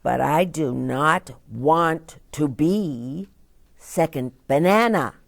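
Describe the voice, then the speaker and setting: A woman's voice: low voice, slow pace, vocal fry, no room sound, clean studio sound, full character voice. Storybook child, played by a woman: disappointed, sulky, emphatic grumbling, dragging pace. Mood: indignant, glum. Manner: glum, emphatic complaining. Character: sulky, disappointed child stuck with a minor role.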